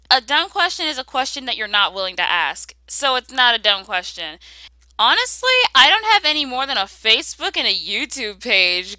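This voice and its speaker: A woman's voice: a little high, pushed and bright, clear, resonant tone, no echo, low-quality recording. A woman hosting a casual question-and-answer voice-over, with a blunt, sassy manner that flips between sweet and glum. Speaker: YouTuber host answering viewer questions.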